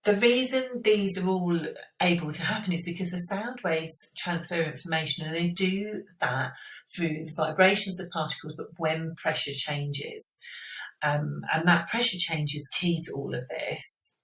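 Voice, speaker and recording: A woman's voice: on the low side, a little slow, fairly bright tone. A woman teacher giving an informal step-by-step school science explanation, often checking in with the listener. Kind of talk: explanatory school revision lesson. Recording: roomy echo, distant microphone.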